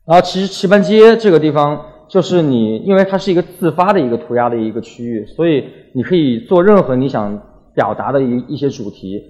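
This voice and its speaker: A man's voice: a little high, darkish tone, muffled recording. A young man from Wuhan giving a casual talk as a graffiti artist, colloquial and full of anecdotes, acting out little exchanges as he goes. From Wuhan, China.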